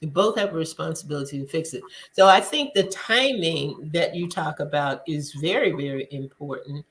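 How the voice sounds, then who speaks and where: A woman's voice: deep voice, normal speed, fairly bright tone, poor audio. A woman hosting a faith-based discussion show, speaking earnestly and repeating her points for emphasis. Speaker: talk show host.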